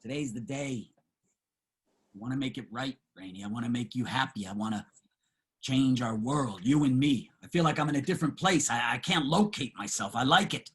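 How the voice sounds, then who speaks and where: Man acting a husky, pleading homeless man. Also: mid-range voice, moderate pace, natural voice. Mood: hopeful, urgent. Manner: earnest, colloquial, pleading. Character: rough-spoken, yearning homeless man, pleading and tender. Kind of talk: dramatic table reading.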